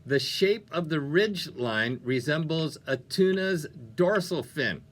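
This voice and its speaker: A man's voice: a little high, lively intonation, takes it slowly. A man hosting a music radio show with upbeat, playful, conversational DJ patter. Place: radio studio.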